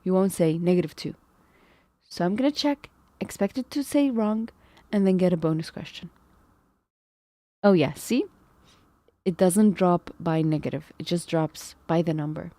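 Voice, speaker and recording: A woman's voice: on the low side, normal speed, long pauses, mellow, no echo. A woman live-streaming, thinking aloud over math problems, second-guessing herself and chatting with viewers. She feels her voice is going. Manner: talks to chat, muses and second-guesses herself.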